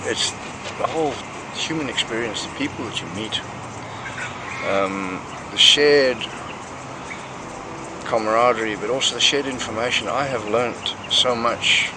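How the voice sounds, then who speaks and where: A man's voice: mid-range voice, a little slow, pauses often. A man, talking casually about himself in an interview. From Zimbabwe, born in South Africa. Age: 60s. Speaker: hiker interviewed for a documentary. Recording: very noisy outdoor ambience, birds.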